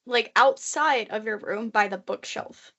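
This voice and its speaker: Young woman podcast co-host, rambling with fillers, higher voice, muffled lo-fi sound. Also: unhurried, a bit bright. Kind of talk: casual chat. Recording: muffled lo-fi recording.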